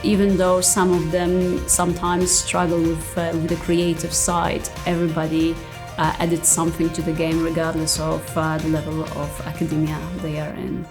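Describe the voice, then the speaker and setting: Low, even-toned woman reflecting. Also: moderate pace, over music. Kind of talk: interview, reflective.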